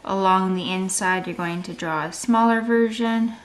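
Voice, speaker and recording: A woman's voice: medium pitch, moderate pace, a little hiss or hum. A woman art teacher giving a step-by-step drawing tutorial for children, in simple, encouraging instructional phrases.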